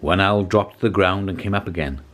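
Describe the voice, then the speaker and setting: A man reading a children's story aloud as scripted narration, in an expressive storytelling manner. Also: low voice, fairly quick. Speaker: storybook reader.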